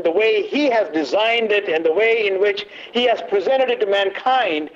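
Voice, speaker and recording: A man leading a church workshop talk, loud but not booming, halting with many fillers and quizzing the audience. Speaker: workshop teacher. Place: meeting room, away from the podium. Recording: dull lo-fi recording.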